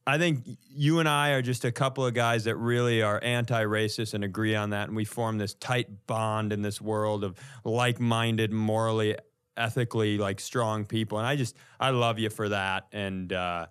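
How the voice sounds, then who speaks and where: A man's voice: on the low side, steady tone, normal speed, a bit bright, clean tone. A man co-hosting a podcast in casual comedic banter, joking with a mock-earnest manner. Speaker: podcast co-host.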